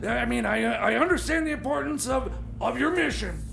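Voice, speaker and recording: Man doing a high, creaky, flustered tinkerer. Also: animated, a bit bright, slight background noise, exaggerated voice. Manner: stammering, rambling, halting. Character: flustered, bumbling tinkerer fixing a time machine. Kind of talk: improvised comedy radio theater.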